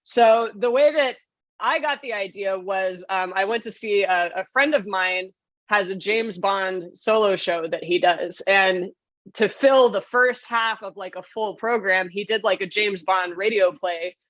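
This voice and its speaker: Woman guest telling stories casually, medium pitch, kinda muffled lo-fi sound. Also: unhurried, fairly bright tone, no echo. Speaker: interview guest, radio play writer. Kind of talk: casual conversational storytelling. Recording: slightly dull, lo-fi.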